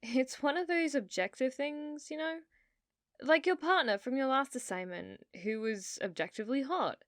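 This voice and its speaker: A woman's voice: high-pitched, normal speed, dry recording, lightly acted. Coy British girlfriend played by a woman, very dry sound. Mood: casual, coy. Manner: coy, soft-spoken, teasing with feigned innocence. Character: sweetly manipulative young girlfriend, teasing, provoking jealousy. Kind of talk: intimate ASMR roleplay, scripted.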